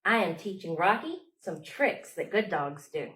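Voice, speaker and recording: A woman's voice: fairly low pitch, expressive, normal speed, fairly bright tone, distant mic. A woman hosting storytime as a children's librarian, reading aloud and talking to small children in simple short phrases.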